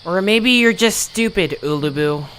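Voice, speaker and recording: A sarcastic, grumbling cartoon cynic, acted by a man for an audio drama. The delivery is needling and animated, swinging widely in pitch. Faint night insects sound in the background. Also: a little slow, noisy background. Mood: scornful. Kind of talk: audio drama character acting.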